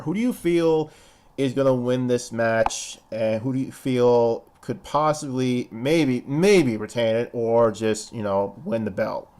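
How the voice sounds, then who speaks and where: A young man with a noticeable lisp talks through wrestling picks in a loose, rambling way, doubling back on his words. His pitch sits mid-range, and a faint hiss runs under the recording. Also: darkish tone. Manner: rambling, repeats words and restarts. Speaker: podcast co-host. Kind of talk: casual wrestling predictions chat.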